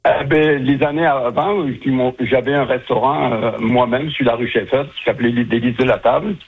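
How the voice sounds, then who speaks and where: A man's voice: mid-range voice, normal speed, slightly rough. A man in his seventies from southern France, a retired chef chatting and telling stories as an interview guest over the telephone. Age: 70s. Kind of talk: casual interview answers. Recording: muffled, narrow-band phone line.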